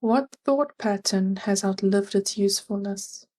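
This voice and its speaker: A woman leading a scripted guided meditation, giving gentle, measured and soothing instructions. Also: mid-range voice.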